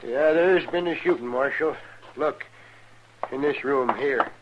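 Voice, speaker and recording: Man playing grave, halting frontier man. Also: mid-range voice, noisy background, lightly acted. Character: shaken, earnest frontier man confessing. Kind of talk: old-time radio western acting. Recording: muffled old radio broadcast.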